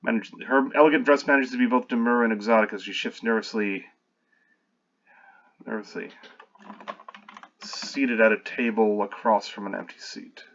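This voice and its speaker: Lowish man reading his own screenplay. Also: steady tone, a bit bright, dull audio. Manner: halting, self-correcting, wry asides. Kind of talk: reading a screenplay aloud with commentary.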